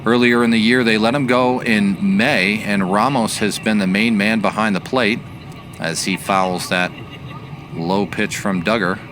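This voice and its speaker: Low-voiced man calling baseball play-by-play. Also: fairly even intonation, unhurried, over music. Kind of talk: sports play-by-play commentary.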